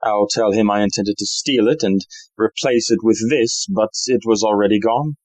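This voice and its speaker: An actor playing a suave, scheming gentleman thief in an audio play, with an unruffled, smooth-talking manner. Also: on the low side, normal speed, no echo, natural voice, poor audio.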